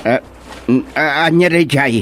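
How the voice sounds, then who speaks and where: A man's voice: fairly high pitch, measured pace, fairly bright tone. A man playing a naive, wary villager in a radio comedy-drama, with hesitant exclamations. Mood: wary, amazed. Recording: background noise, water ambience.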